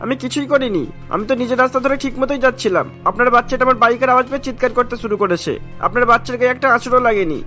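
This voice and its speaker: A man's voice: very high voice, racing, pushed and bright, soft background music. A man voicing a defensive, argumentative motorbike rider in a cartoon, full of indignation. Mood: indignant, defensive. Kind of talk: Bengali cartoon voice acting.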